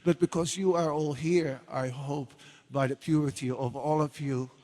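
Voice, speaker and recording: A man's voice: fairly high pitch, steady tone, normal speed, mellow. A man introducing a devotional play, speaking in an informal address to those gathered.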